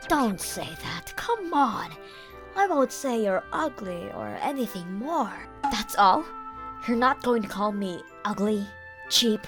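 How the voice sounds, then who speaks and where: A woman's voice: a little high, big pitch swings, slow, over music. A woman voicing a meddling, flustered older mother-in-law who wheedles, in a manga dub. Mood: flustered wheedling. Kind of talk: manga dub character acting.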